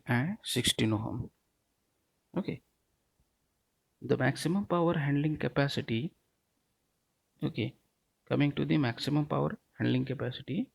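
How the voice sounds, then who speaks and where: A man's voice: mid-range voice, gravelly, darkish tone, breathy edge, no room sound, poor audio. A man giving a technical lecture in a didactic way, often punctuating his points with short check-in words. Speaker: teacher, lecturer.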